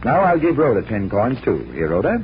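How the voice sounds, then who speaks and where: A man's voice: medium pitch, sing-song, fairly quick, close to an everyday voice. An actor playing a confident, genial magician detective in 1940s radio style, instructing like a theatrical showman. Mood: playful showmanship. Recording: dull, noisy vintage radio recording.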